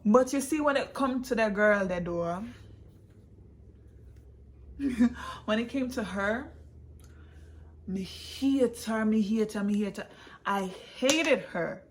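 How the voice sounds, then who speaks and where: A woman's voice: a little high, slow, pauses often, a little hiss or hum. A woman telling a personal story casually, repeating phrases for emphasis and slipping into Patois. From born in Jamaica, raised in England and the United States. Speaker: vlogger and author telling her own story.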